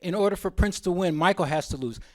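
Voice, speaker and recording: A man's voice: high voice, fast talker, a little mellow. A man presenting at a symposium in an informal, opinionated way, often wandering into asides.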